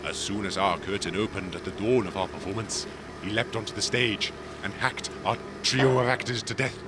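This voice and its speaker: A man playing a courtly lion, an old-fashioned gentleman actor with a florid, pompous, wordy way of talking in a comedy audio drama. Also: lowish voice, fairly even intonation, normal speed, very noisy, close to an everyday voice. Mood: grandiose storytelling.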